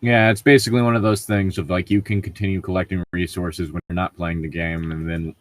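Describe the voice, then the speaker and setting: A man co-hosting a casual story-reading chat, talking in a halting way and often rephrasing himself. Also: deep voice, little pitch movement, no room sound. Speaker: co-host reading online stories.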